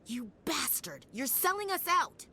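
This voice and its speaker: A lively, blunt female soldier. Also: high-pitched, a little slow, a little hiss or hum, heavily acted. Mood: outraged. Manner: sardonic. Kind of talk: dramatic video game acting.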